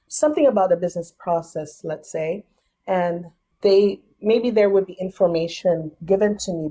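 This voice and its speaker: A woman's voice: fairly low pitch, dark tone, low-quality recording. A young adult woman and business founder, a podcast guest, explaining things step by step in a thoughtful, casual way. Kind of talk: casual interview.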